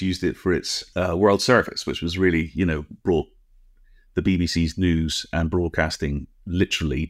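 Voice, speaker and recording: A man's voice: sits low, no room sound, studio quality. A man co-hosting a podcast, explaining technical things conversationally in a nerdy, precise, digressive way. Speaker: podcast co-host.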